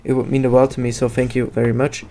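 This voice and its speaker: A man narrating a casual software tutorial in a hesitant, halting way. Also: mid-range voice, flat delivery, a little hiss or hum. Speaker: tutorial host.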